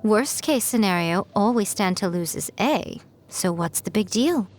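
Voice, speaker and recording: An elegant female fox spirit from a video game teases everyone around her in an arch, unhurried way, as a woman voices her. Her voice sits at an ordinary middle pitch, and game music plays behind it. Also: animated, lightly acted.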